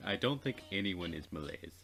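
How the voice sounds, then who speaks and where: Low-pitched man reading a game aloud. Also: lively intonation, fairly quick, gravelly, fairly bright tone, faint music behind. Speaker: let's play co-host and reader. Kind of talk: reading game text aloud with casual banter.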